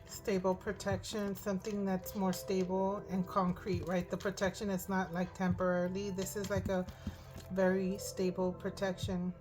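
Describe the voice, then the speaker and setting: A hoarse woman reading tarot cards aloud, thinking out loud and often asking "right?". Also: mid-range voice, monotone, normal speed. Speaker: tarot reader. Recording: music in the background.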